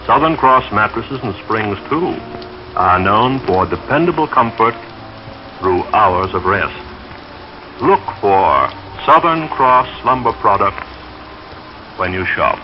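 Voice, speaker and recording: A man reading in a measured, soothing way, like a dreamy late-night radio announcer. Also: lowish voice, big pitch swings, noisy background. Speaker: radio announcer and poetry reader. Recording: dull vintage radio sound, music behind.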